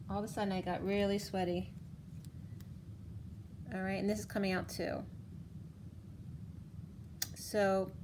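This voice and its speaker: A woman reading tarot cards for viewers in a casual, rambling way, her voice going hoarse. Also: lowish voice, noisy background. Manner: rambling, halting restarts. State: losing her voice. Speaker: tarot reader. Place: home room, table of cards. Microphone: camera on the cards, speaker off screen.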